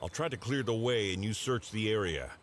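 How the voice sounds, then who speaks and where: An actor playing a resolute, earnest ally in a fairy-tale adventure game, speaking firmly. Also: male voice, fairly low pitch, expressive, a little fast, noisy background, natural voice. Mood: determined. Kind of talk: video game dialogue.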